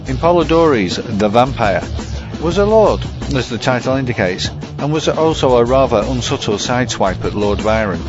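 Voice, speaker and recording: A man with a medium-pitched voice reads a scripted essay as a presenter. The recording is slightly dull, with clear music playing behind him. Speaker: podcast segment presenter.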